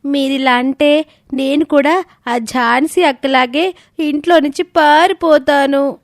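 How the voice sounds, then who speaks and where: A woman's voice: high voice, steady tone, slow pace, no room sound, studio quality. A woman voicing a tearful young daughter in a dramatised story, pleading and aggrieved. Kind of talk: dramatised moral story acting.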